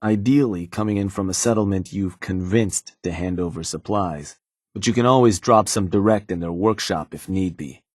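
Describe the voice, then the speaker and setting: Casting: a laid-back raider gang fixer for a game, low voice, dry and matter-of-fact. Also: male voice, normal speed, a little mellow, no echo. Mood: businesslike. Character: laid-back male raider fixer serving his boss. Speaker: gang fixer taking orders. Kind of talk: video game dialogue.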